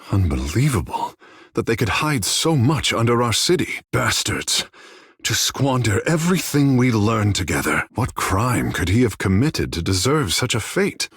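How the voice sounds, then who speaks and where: Flamboyant husky young demigod, swooping intonation. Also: male voice, medium pitch, fairly bright tone, no echo, clean studio sound. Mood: disgusted, indignant. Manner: theatrical, lofty formal phrasing. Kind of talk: scripted fantasy video game dialogue.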